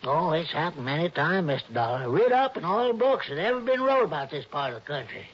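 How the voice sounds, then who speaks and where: An actor playing a cautious, folksy old Western guide with a rural American drawl in a 1960s radio drama. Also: male voice, fairly high pitch, big pitch swings, a little fast, husky. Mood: folksy, cautioning. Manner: folksy, drawling, ungrammatical backwoods talk. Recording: dull, noisy vintage radio recording.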